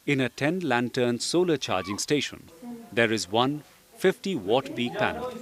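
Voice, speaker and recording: Male training film narrator over music. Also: mid-range voice. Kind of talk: scripted instructional narration. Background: background music.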